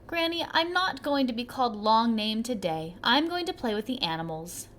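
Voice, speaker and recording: Lady doing a little village kid, high voice, sing-songy and excited, swoops around a lot. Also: moderate pace, a little hiss or hum. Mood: cheerful. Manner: excited, sing-song calling. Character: eager little village boy.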